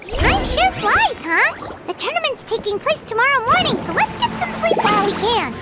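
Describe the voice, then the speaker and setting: A tiny, ever-hungry fairy girl chatters in a very high, squeaky voice. Her pitch leaps around with childlike excitement. Game music plays clearly behind her. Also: moderate pace. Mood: chatty. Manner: speaks of herself in third person, chirpy. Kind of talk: game voice acting.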